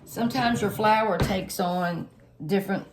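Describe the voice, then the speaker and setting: A woman, a little hoarse and stuffed up, chatting homily through a cooking tutorial for her viewers. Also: medium pitch, measured pace, over music, poor audio. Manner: homey, chatty, lots of fillers, addresses viewers directly. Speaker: home cook channel host.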